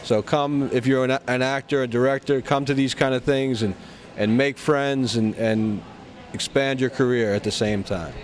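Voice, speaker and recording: A man giving a casual interview in a halting way, often stopping and starting mid-phrase. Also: medium pitch, steady tone. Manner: halting, hesitant phrasing with frequent stops. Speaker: actor being interviewed.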